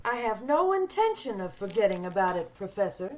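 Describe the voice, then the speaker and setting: A woman's voice: medium pitch, sing-song, fairly quick, lightly acted. A woman playing a bitter, wealthy, commanding woman in an old-time radio drama. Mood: coldly insistent. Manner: cold, insistent, commanding. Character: wealthy, determined, bitter ugly woman scheming for a new body. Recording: dull video-call audio.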